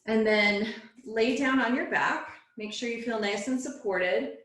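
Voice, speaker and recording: A woman yoga teacher guiding a relaxation and meditation class in a soothing, unhurried way, phrase by phrase. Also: a little high, fairly bright tone. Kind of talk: guided relaxation and meditation instruction. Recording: echoey, roomy sound.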